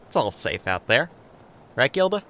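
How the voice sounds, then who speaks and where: A man's voice: medium pitch, very animated, normal speed, pushed and bright, slight background noise. An actor playing a breezy, wry male resort worker in an audio drama. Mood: casual confidence.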